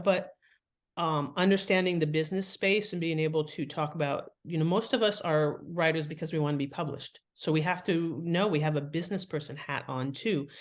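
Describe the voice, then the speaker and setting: A woman talking as an author and interview guest, explaining writing craft in an animated, enthusiastic way. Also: on the low side.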